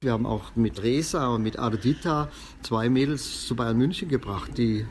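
A man's voice: medium pitch, moderate pace. Chatty man, faint background hiss. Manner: relaxed, chatty, tags sentences with "ne". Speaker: founder of a street football league. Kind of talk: casual interview talk.

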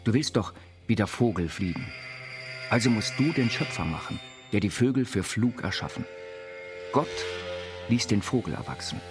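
An actor playing an earnest, visionary self-taught inventor, reciting philosophical writings in a literary radio play. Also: male voice, on the low side, lively intonation, normal speed, long pauses, close to an everyday voice. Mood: earnest, instructive. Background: music.

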